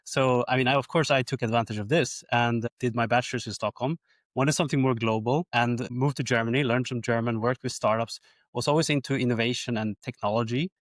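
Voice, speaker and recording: A man telling his background as an interview guest, friendly and quick to laugh. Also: medium pitch, steady tone, no room sound. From Sweden. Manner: friendly, laughs easily, repeats words. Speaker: guest, company co-founder. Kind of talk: interview answers.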